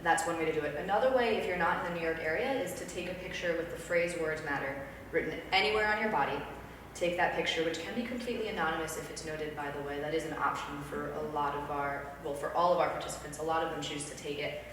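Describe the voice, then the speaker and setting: A woman's voice: low-pitched, fast, a bit bright. A woman guest answering interview questions about her project in an earnest, reflective manner, recorded from a distance in a room. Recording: echoey room from far off, noisy.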